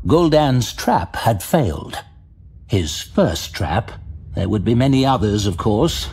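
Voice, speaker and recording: A man giving scripted fantasy audio drama narration, measured and dramatic, recorded as a studio voice-over. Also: on the low side, animated, darkish tone, husky. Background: faint music.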